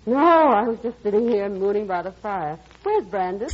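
Actress as a lively-pitched, wistful mature mother. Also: normal speed, natural voice. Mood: gentle, wistful. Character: mature widowed mother, flustered, wistful and guarded. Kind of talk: classic radio drama acting. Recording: dull lo-fi old radio recording.

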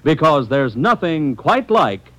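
A man's voice: a little high, sing-song, slow, fairly bright tone, poor audio. A man announcing a scripted old-time radio advertisement in a polished, persuasive way, speaking to listeners as friends.

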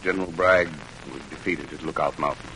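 An actor playing a fatherly, sorrowful army chaplain, soft-spoken and solemn, in old-time radio drama style. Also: extremely low pitch, fairly even intonation, brisk. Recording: noisy vintage radio drama recording.

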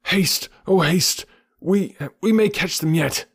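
A man voicing an anxious, fussing old male bear who wails and laments loudly in a storybook reading. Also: expressive, no room sound, clean studio sound. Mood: wailing. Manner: loud, high and wailing, drawn-out cries.

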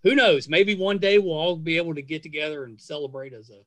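A man's voice: a little high, fast, a bit bright, no echo. A man hosting a podcast, chatting casually to listeners in an effusive, gushing manner. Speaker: podcast host and interviewer. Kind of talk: casual chat and outro to listeners.

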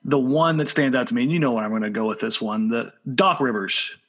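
A man's voice: mid-range voice, fairly quick, fairly bright tone. A man co-hosting a podcast, asking questions in a casual, chatty interview style. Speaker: podcast co-host.

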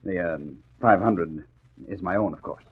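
An actor playing a formal, hesitant male bank boss in a 1940s radio drama. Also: deep voice. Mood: businesslike. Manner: formal, halting, hemming. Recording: tinny old radio recording.

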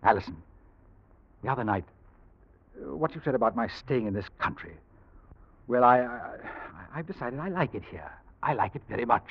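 Smooth, stammering scheming suitor, old radio. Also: male voice, medium pitch, expressive. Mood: pleading, nervous. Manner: halting, stammering, melodramatic. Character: deceitful, desperate foreign suitor turned killer, smooth-talking man. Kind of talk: 1940s radio drama acting. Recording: dull vintage radio recording.

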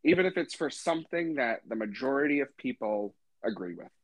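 A man's voice: mid-range voice, animated, a little fast, no echo. A man hosting a podcast in a laid-back, casual way, swearing freely and saying "you know" a lot. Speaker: podcast host.

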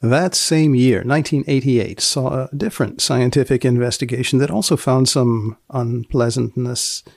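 A man hosting a podcast, narrating and asking questions in a measured, deadpan way. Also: medium pitch, gravelly, dry recording, clean studio sound. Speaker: podcast host and magazine editor.

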